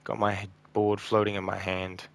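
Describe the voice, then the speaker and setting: Tired raspy man with a gravelly low voice. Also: monotone, moderate pace, noisy background. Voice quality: croaky, a bit raspy. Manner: subdued, rambling, frequent ums and trailing off. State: tired and burnt out. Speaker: gaming channel host. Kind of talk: casual gameplay commentary.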